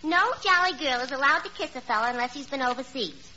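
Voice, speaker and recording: A dull vintage radio recording of a man-hungry young woman with little sense. The voice is high and very animated, rattling off nonsense as plain fact. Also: fast, slight background noise. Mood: breezy, naive. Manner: naive, matter-of-fact illogic. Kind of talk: 1940s radio comedy acting.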